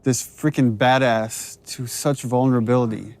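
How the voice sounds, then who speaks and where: A man's voice: mid-range voice, fairly even intonation, normal speed, a little mellow. A man giving casual, enthusiastic director commentary in a conversational way. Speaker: game director on a commentary track.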